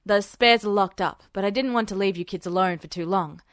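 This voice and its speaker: A woman's voice: medium pitch, fairly quick, no echo, studio quality, voice pushed down, natural voice. A woman voicing a worried, harried male soldier in a story reading. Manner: harried, muttering to himself.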